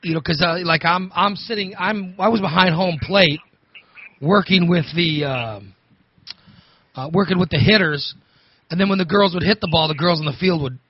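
A man hosting a talk radio show, hoarse from overusing his voice, rambling and restarting his sentences. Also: high-pitched, normal speed, no echo, highs cut off. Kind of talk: casual talk radio monologue.

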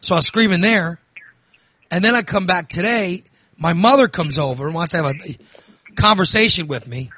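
Hoarse, rambling talk radio host man. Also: on the high side, muffled recording. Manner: rambling, repeats himself, restarts sentences. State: hoarse from overusing his voice. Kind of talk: casual talk radio monologue.

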